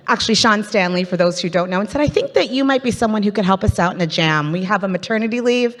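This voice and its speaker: A Canadian woman hosting a live business event as MC, chatty and playful as she draws the audience in over a stage microphone. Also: medium pitch. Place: large conference hall. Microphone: handheld stage microphone. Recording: reverberant conference hall.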